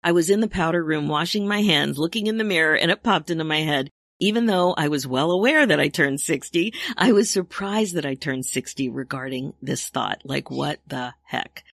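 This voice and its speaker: A woman's voice: low-pitched, animated, moderate pace, creaky, few pauses, fairly bright tone, no echo, clean studio sound. A woman in her sixties hosting a solo podcast as a coach, chatty and upbeat with frequent laughs. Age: 60s. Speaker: podcast host and midlife coach.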